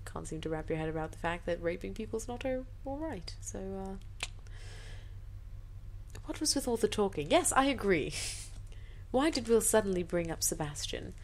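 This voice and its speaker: A woman's voice: medium pitch, lively intonation, moderate pace, darkish tone, a little hiss or hum. A woman doing an amateur dramatic reading of fanfiction, theatrical, with incredulous, sarcastic asides.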